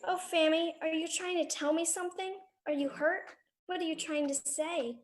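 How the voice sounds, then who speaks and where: Woman as an inquisitive high-voiced little girl. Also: steady tone, moderate pace. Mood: trusting. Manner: innocent, direct questioning. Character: earnest, inquisitive little girl devoted to her cat. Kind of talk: live radio drama acting.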